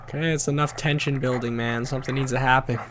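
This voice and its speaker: A man's voice: mid-range voice, fairly even intonation, fast, background noise. A man hosting a reaction video, giving casual, slangy and skeptical commentary.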